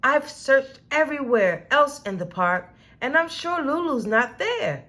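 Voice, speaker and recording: A woman's voice: high-pitched, big pitch swings, unhurried, a bit bright, heavily acted. A woman voicing an earnest, worried male zoo worker in a children's storybook. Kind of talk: children's storybook character reading.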